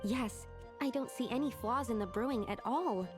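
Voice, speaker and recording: A woman's voice: a little high, normal speed, music in the background, natural voice. A woman playing an earnest, hesitant young female researcher in a video game, speaking in a precise, tentative, scholarly way. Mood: analytical, calm.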